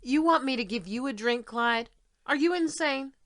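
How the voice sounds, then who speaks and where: A woman's voice: high-pitched, expressive, moderate pace, dry recording, studio quality. An actress playing a defensive, articulate woman writer in an audio drama, with emphatic, exasperated delivery. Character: defensive, articulate female writer of women's erotica. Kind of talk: stage-style audio drama acting.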